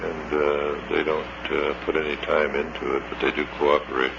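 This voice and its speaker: Very deep-voiced man, distant and muffled. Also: level tone, normal speed, creaky. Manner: brief, businesslike, polite. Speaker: hotline call taker. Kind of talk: phone interview taking a report. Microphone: telephone. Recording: distant muffled noisy taped phone line.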